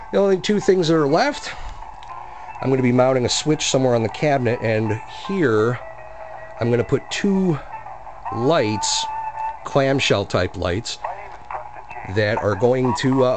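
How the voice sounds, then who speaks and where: A man's voice: medium pitch, animated, moderate pace, long pauses, noisy background. A man casually narrating a demonstration of his hobby project in a plainspoken, explanatory way. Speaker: hobbyist restorer of antique radios.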